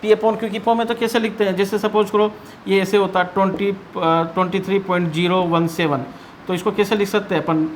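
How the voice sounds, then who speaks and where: A man's voice: sits high, fairly even intonation, brisk, slight background noise. An Indian man teaching maths in an explanatory lesson style, asking and answering his own questions and repeating key points.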